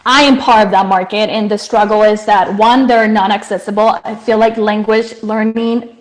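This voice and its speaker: A woman's voice: mid-range voice, steady tone, moderate pace, low-quality recording. A woman from Colombia whose first language is Spanish, earnestly explaining her startup on a video call, with many fillers and restarts. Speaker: startup founder pitching.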